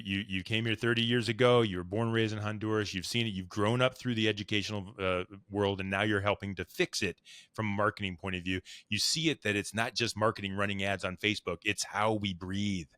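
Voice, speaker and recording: A man's voice: lowish voice, fairly bright tone, no room sound. A man hosting a podcast interview, enthusiastic and full of praise, often restarting his sentences. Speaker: podcast host.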